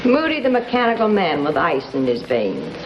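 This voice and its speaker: An actress playing a sardonic, world-weary gangster's moll in a 1950s television crime drama, with a mocking, wry manner. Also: female voice, sits low, huge pitch swings, moderate pace, background noise. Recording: dull vintage broadcast audio under loud music.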